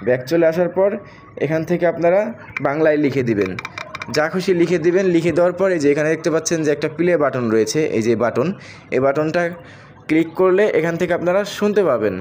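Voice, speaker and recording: A man with a Bangladeshi accent and a slightly high voice talks quickly through an app tutorial. He addresses viewers directly and goes over each step again as he moves along. There is faint noise behind him. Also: expressive, a little mellow. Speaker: tech tutorial host.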